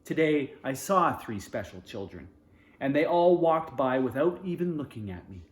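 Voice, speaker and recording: A man's voice: mid-range voice, very animated, moderate pace, natural voice. A man voicing a young male sasquatch in a storybook, earnest and childlike, eager and lonely for a friend. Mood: eager, pleading. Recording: roomy echo.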